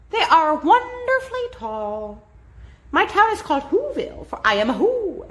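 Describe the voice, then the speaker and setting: A tiny storybook town mayor, as a woman reads him aloud speaks in a squeaky, high, excited voice. He is earnest and eager, and his voice swoops and climbs. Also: full character voice.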